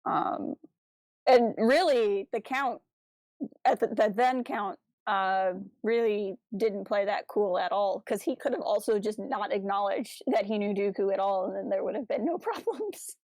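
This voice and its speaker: A woman's voice: mid-range voice, unhurried, darkish tone, dry recording. A woman co-hosting a podcast, talking through ideas in a casual, discursive way. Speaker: podcast co-host.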